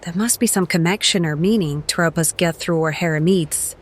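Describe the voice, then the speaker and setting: A woman's voice: on the low side, normal speed, lightly acted. A woman acting an earnest, defensive hireling in an audio drama.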